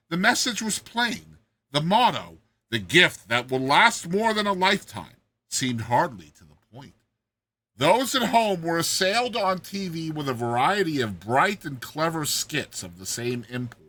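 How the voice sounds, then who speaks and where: A man performs dramatized audiobook narration in a bright, forceful voice with a rough, husky edge. His pitch swings widely as he leans into the storytelling with theatrical emphasis. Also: very animated, measured pace, poor audio.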